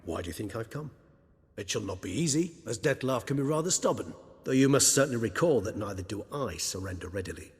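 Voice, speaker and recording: An actor playing an urbane, centuries-old vampire in a video game, speaking formally and wryly in long, archaic, wordy phrases. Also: male voice, lowish voice, lively intonation, fairly quick, breathy edge, long reverb tail, exaggerated voice. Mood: calm, earnest. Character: urbane, erudite, centuries-old male vampire, gentle and loyal. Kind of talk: video game cutscene acting.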